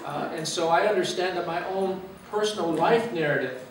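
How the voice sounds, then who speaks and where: A man in his fifties from Los Angeles, giving an earnest, reflective talk as a guest speaker with frequent "uh" pauses. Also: high voice, background noise. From Los Angeles, USA. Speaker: guest speaker, university president. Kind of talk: personal talk to a church gathering. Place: meeting room or church hall. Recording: echoey room, mic far away.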